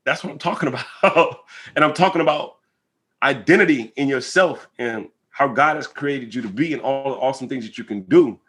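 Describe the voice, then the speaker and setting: An American man with a bright, forceful voice and an average pitch gives reflective interview answers. He is earnest and emphatic, talking conversationally and often checking that his listener follows. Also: brisk. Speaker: interview guest, rapper.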